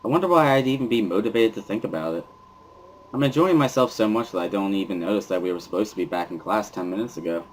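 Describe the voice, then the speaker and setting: A man's voice: on the low side, animated, fast talker, slight background noise, low-quality recording. A man giving an amateur dramatic reading of first-person narration from a visual novel. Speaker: narrator and reader. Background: music.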